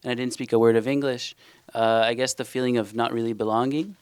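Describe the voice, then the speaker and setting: A man's voice: medium pitch, steady tone, quick pace, clean tone, dry recording. A young man from Israel whose first language is Hebrew, speaking hesitantly with many fillers and restarts on a panel. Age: young adult. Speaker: panel author. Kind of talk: panel discussion and reading.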